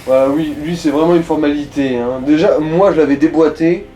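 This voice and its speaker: A man with a mellow, relaxed voice pitched a little high guides a player through a video game. His commentary is casual and blunt, full of crude slang. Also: fairly quick, soft background music. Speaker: let's play co-commentator guiding the player.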